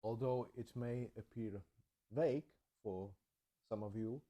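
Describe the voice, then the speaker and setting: Slow, mellow-voiced man. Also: medium pitch. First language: Czech. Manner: slow, measured, thoughtful delivery. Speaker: channel host. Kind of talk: reflective personal narration.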